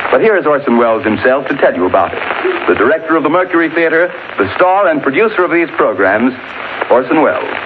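A male 1930s radio network announcer reading a script in a formal, grand manner on an old broadcast recording. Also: a little high. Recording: noisy, narrow-band 1930s radio broadcast.

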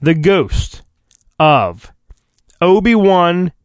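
A man's voice: high-pitched, big pitch swings, drawn-out words, clear, resonant tone, no echo, clean studio sound. A man hosting a podcast, doing a comedic dramatic storybook reading in a hammy way, breaking off for joking, profane asides. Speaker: podcast host.

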